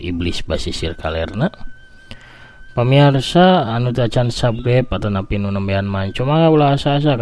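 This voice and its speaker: A man with a Sundanese accent telling a folk tale as host, in a theatrical, drawn-out radio-story manner. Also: lowish voice, darkish tone.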